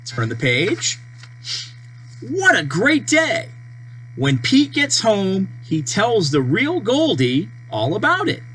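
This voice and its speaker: A person reading a children's storybook aloud, with deliberate, simple phrasing and page-turn prompts. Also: mid-range voice, low-quality recording. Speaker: storybook reader. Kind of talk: children's read-aloud narration. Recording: steady mains hum behind voice.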